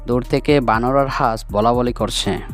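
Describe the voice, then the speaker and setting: A man's voice: medium pitch, fairly even intonation, normal speed, over music. A man giving scripted narration for a children's cartoon story.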